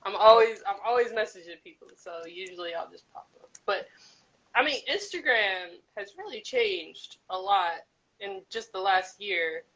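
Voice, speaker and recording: A woman guest artist with a deep voice, musing in a meandering casual chat on a live video call. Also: normal speed, a bit bright, highs cut off. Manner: reflective, meandering, with frequent "like" and "you know". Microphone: live-stream call.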